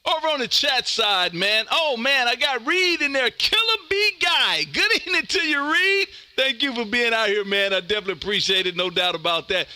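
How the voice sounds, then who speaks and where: A man's voice: extremely high pitch, lively intonation, moderate pace, hardly any pauses, bright, forceful tone, no room sound. An American man hosting an amateur radio show, chatting on air in an exuberant, folksy, emphatic way. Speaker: ham radio show host.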